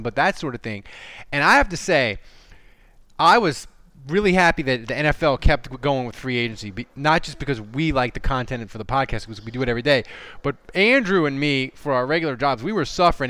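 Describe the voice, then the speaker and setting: A man's voice: mid-range voice, animated, fast, sharp tone, no echo. A man hosting a sports podcast, talking casually and reading sponsor spots. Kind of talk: casual sports talk and ad reads.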